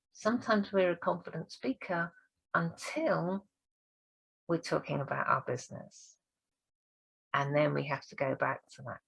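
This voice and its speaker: A woman speaking coach giving an encouraging training talk, her voice croaky and hoarse this week. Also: deep voice, fairly bright tone, low-quality recording. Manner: encouraging, earnest. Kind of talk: direct-to-camera training talk.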